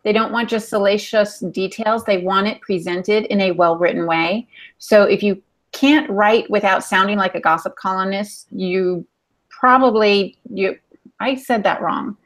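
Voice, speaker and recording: A woman co-hosting a casual podcast discussion, explaining her points in a measured way. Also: mid-range voice, fairly bright tone. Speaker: podcast co-host.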